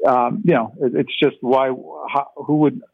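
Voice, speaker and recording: A man's voice: medium pitch, steady tone, dry recording. Older guy, first language ukrainian, stumbling through on a tinny phone line, dead dry sound. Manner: halting, many restarts and fillers. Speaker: interview guest. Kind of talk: phone interview on radio.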